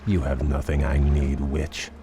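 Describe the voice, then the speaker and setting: A proud elven prince for a game, deep, cultured, bitterly wry. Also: male voice, completely flat delivery, a little slow, music in the background. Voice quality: refined, dry and sardonic. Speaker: prince and field commander. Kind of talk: video game cutscene voice acting.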